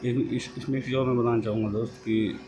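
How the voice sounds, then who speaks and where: A man giving an informal explanatory update, halting and rephrasing as he goes, mixing in English terms. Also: medium pitch, steady tone. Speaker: host of a job-news channel. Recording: noisy home-made voice-over, background noise.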